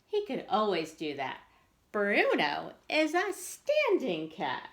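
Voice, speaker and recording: A cheerful young storybook boy, voiced by a woman reader, proud and emphatic. The pitch jumps about with lively expression. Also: slow pace, creaky, heavily acted. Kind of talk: children's storybook character voice.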